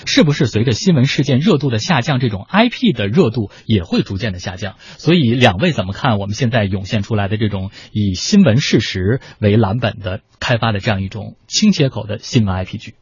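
Husky man hosting a radio panel. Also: medium pitch, expressive, a little fast, hardly any pauses, no room sound. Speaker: host. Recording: dull, muffled audio.

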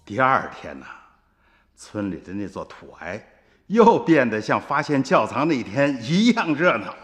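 A man playing an earnest older countryman who tells his family a tale in a folksy, animated radio drama narration. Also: mid-range voice, unhurried, soft background music. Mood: reminiscing.

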